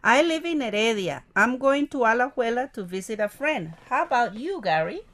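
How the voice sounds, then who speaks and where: Friendly commuter, read by a woman, clear textbook delivery. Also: a little high, expressive, moderate pace, a bit bright. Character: helpful young working woman, twenty-seven.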